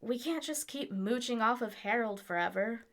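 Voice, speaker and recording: A woman's voice: fairly high pitch, moderate pace, close to an everyday voice. A woman acting in an audio drama as a blunt, pragmatic young traveler, frank and informal, exasperated but caring. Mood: firm, reasoning.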